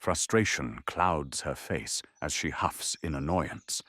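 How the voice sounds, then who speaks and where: A man's voice: a bass voice, expressive, takes it slowly, background noise. A man narrating an audio drama in a dramatic, scripted storytelling style.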